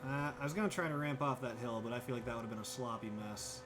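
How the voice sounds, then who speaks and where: A man's voice: mid-range voice, fast talker, noisy background. A young man livestreaming a driving game, chatting casually, thinking aloud and thanking viewers by name. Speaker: streamer. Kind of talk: casual livestream chat while gaming.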